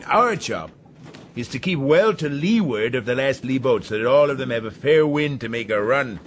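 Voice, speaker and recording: An actor playing a domineering, hard-driving sea captain in a radio drama, blunt and commanding. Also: male voice, mid-range voice, animated, moderate pace, a little hiss or hum, close to an everyday voice. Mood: stern, menacing.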